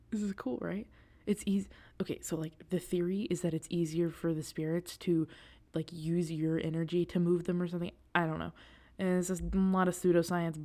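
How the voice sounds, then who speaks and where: A woman acting an excitable, reassuring young girlfriend on a date in an audio roleplay, talking casually to the listener with hesitant asides and eager repetition. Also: lowish voice.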